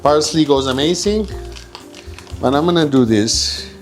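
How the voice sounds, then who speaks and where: A man's voice: on the high side, animated, measured pace. A man from Egypt giving a casual, chatty cooking demonstration as a home cook, improvising as he goes and checking in with his listeners. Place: kitchen, while cooking. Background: guitar music.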